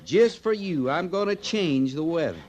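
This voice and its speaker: Male actor, commanding cavalry sergeant, American, folksy, sardonic, slightly high pitch, noisy vintage radio drama. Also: animated, moderate pace, darkish tone, lightly acted. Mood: mock-obliging, sardonic. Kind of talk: old-time radio Western acting. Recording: noisy old radio recording.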